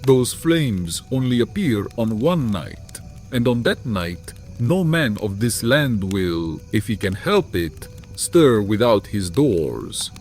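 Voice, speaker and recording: Slow, deep, courtly vampire Count. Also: male voice, big pitch swings, darkish tone, faint music behind. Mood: scornful. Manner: formal, archaic, dramatic phrasing. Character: courtly, menacing old foreign Count, proud vampire nobleman. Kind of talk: dramatized gothic audiobook acting.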